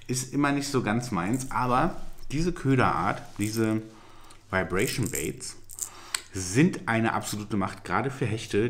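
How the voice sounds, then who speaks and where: A man's voice: lowish voice, fairly bright tone. Brief: upbeat man in his forties, hosting an unboxing vlog on a desk camera, noise audible behind him. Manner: chatty, upbeat, talks while handling a box. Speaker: fishing lure channel host. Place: small indoor room with shelves.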